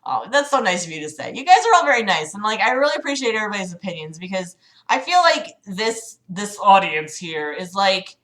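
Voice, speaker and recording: A woman's voice: mid-range voice, big pitch swings, brisk, a bit bright. Hesitant, rambling woman chatting on a livestream. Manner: hesitant, rambling, self-deprecating. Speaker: livestream host. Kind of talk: casual chat with livestream audience.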